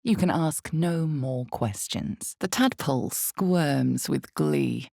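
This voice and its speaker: An ominous fantasy game narrator, super low female voice, slow and deliberate, intimate dramatic storytelling. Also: expressive, vocal fry, a little mellow, dry recording, clean studio sound, full character voice. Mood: measured.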